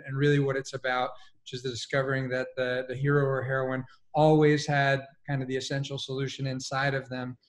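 Even-toned, thoughtful man in his forties. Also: mid-range voice. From Colombia, moved to the United States as a child. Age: 40s. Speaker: panelist. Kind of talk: personal storytelling on a panel. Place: living room at home.

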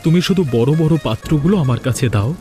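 A man's voice: mid-range voice, expressive, fast talker, dark tone, natural voice. A man playing a poor, patient village husband in a cartoon, speaking in an earnest, reassuring way. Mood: protective. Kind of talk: Bengali cartoon voice acting. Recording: music behind the voice.

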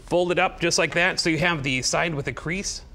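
A man in his forties with a fairly high-pitched voice. He talks casually through a hands-on demonstration, giving simple steps and slipping in little jokes. It sounds like a TV studio segment. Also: normal speed, bright, forceful tone. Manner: chatty, joking, step-by-step instructing. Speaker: guest towel-folding demonstrator and seller.

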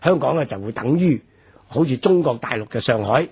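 聲音非常沙啞嘅香港男人，講歷史. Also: male voice, medium pitch, animated, darkish tone, husky. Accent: Hong Kong Cantonese. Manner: measured, explanatory, many fillers. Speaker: history commentator. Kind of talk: radio history discussion. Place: radio studio.

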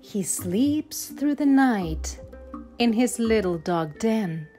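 A woman reading a rhyming children's picture book aloud with measured, deliberate phrasing. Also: fairly high pitch, expressive, mellow. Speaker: storybook narrator. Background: instrumental backing music.

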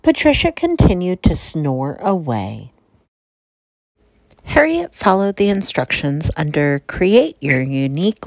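Female picture-book narrator: unhurried, very animated, deliberate emphasis, dry close sound. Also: mid-range voice, dry recording. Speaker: storyteller reading aloud to children.

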